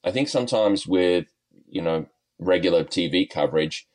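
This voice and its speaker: Guest spot: very low-voiced Australian man, middle-aged, laid-back and chatty, heavy on fillers. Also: animated, normal speed, darkish tone. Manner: easygoing, lots of ums and uhs, chuckles often. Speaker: golf coach and guest. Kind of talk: podcast interview, relaxed storytelling.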